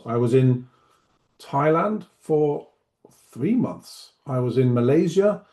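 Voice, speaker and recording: Mellow-voiced man presenting. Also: mid-range voice. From Manchester, north of England. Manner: relaxed, chatty, self-deprecating asides. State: slight cough. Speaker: teacher trainer and webinar presenter. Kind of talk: online webinar presentation. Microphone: video call.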